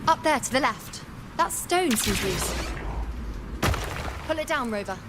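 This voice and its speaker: A woman's voice: high-pitched, expressive, unhurried, very noisy. A woman voicing an alert, spirited young female companion in a video game. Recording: loud game music behind. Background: loud game music.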